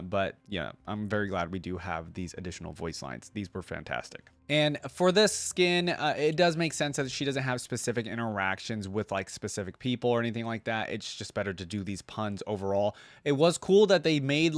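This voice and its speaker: Guy w a lowish voice just rambling to viewers like a gaming reaction host, really dry sound no room. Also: no echo. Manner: rambling, conversational. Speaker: video game reaction host. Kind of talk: casual unscripted commentary to viewers.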